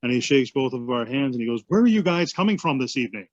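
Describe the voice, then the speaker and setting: A man tells a story with animated amusement. His voice sits at a middle pitch. The recording sounds dull and dry, with no room around it. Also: fast talker, no echo. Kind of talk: storytelling anecdote.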